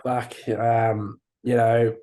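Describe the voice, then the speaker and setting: A man's voice: mid-range voice, little pitch movement, very slow, mellow, a little hiss or hum. A young Australian man co-hosting a football podcast, talking in a blunt, frustrated way with casual slang and swearing. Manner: frustrated, blunt, swears, uses "mate" and "reckon". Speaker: podcast co-host. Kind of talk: casual sports chat.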